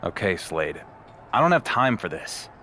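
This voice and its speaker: A self-assured champion wrestler from a video game cutscene. His voice sits a little low and he talks drily and dismissively, over faint background noise. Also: very animated, measured pace, bright, forceful tone, exaggerated voice. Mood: exasperated, sarcastic. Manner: dry, dismissive. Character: confident, dryly sarcastic young champion male wrestler.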